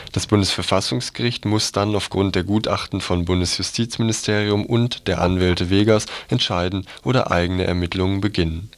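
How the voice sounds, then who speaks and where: A man's voice: sits low, no echo. A man presenting on radio, reading out scripted announcements.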